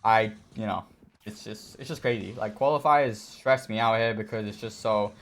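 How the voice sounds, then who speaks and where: A man with a hoarse, worn voice casually commenting on his own match, easygoing and rambling with many fillers. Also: lowish voice, moderate pace. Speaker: esports player reviewing his own match.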